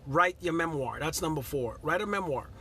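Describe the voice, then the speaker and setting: Middle-aged guy from guyana doing a motivational live stream, bright forceful voice, bit of faint hum behind. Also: on the high side, animated, normal speed. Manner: earnest, repeats himself, greets viewers by name. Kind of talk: casual motivational talk.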